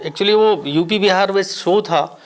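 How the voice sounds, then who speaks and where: Man from Bihar, native Maithili speaker, actor being interviewed, measured and reflective, slightly raised voice. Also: high-pitched. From Bihar, India. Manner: measured, reflective, pauses often. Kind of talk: relaxed interview answers.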